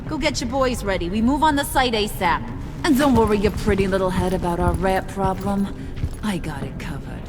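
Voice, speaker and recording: A woman's voice: mid-range voice, animated, normal speed, lightly acted. An actress playing a cocky, volatile female gang boss in a video game, American, teasing and slangy, slipping from singsong to sinister. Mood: commanding, mocking. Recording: loud game music underneath.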